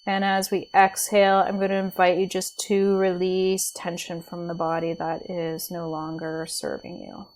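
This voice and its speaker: A woman leading a guided body scan meditation as a teacher, speaking in a gentle, soothing, unhurried way with pauses. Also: lowish voice, monotone, a little hiss or hum, poor audio.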